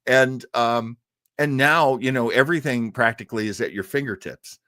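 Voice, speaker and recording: A man hosting a live listening show, telling stories in a casual, informative way with emphatic phrasing and dramatic pauses. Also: medium pitch, bright, forceful tone, no echo, studio quality. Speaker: host of a live old-time radio listening show. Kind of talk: casual informative storytelling to an online audience.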